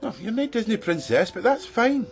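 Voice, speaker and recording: A man's voice: very high-pitched, animated, moderate pace, creaky, faint music behind, exaggerated voice. A man with a Scottish accent playing a cheeky, teasing friend in a radio soap. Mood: reassuring. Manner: playful, teasing.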